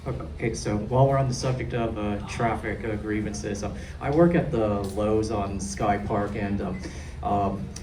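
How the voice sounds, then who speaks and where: Hesitant low-voiced man asking a question. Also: measured pace. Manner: hesitant, full of um and like. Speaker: audience member. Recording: roomy, distant, noisy hall.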